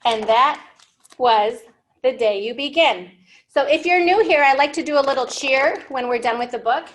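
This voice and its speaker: A woman's voice: high-pitched, moderate pace. A woman in her thirties reading a picture book to young learners as a storytime host, expressively, with simple questions and explanations. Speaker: children's librarian hosting storytime. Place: indoor library room.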